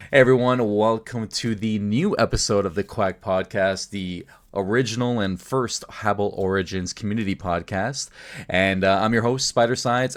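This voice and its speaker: A man hosting a casual podcast, talking in a conversational way. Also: fairly low pitch. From Canada. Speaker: podcast host.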